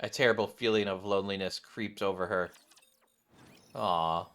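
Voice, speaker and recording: Bright-voiced young man commentating a game. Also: low-pitched, fairly even intonation, moderate pace, smooth. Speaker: gaming streamer. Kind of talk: casual game commentary, reading on-screen text aloud.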